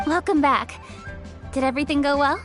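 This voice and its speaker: A woman's voice: sits high, brisk, lightly acted. A woman voicing a poised, diligent young official in a video game, courteous and articulate. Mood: welcoming. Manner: composed, articulate, polite. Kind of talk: video game character acting. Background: faint music.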